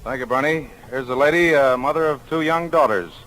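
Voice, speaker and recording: Deliberate man interviewing on 1940s street radio. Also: medium pitch, fairly bright tone, poor audio. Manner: deliberate, repeats answers back. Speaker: radio reporter interviewing passers-by. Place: outdoors on a downtown street. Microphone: broadcast street microphone.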